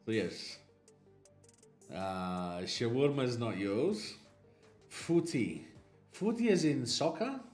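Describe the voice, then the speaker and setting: A man's voice: mid-range voice, big pitch swings, slow, long pauses, darkish tone. Casting: male streamer from Italy, rambling casual chat, stammers and laughs, faint music underneath.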